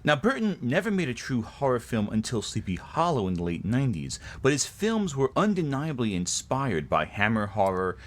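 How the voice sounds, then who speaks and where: A man's voice: medium pitch, wildly swooping pitch, normal speed, hardly any pauses. A man reading scripted video essay narration in an emphatic, explanatory way.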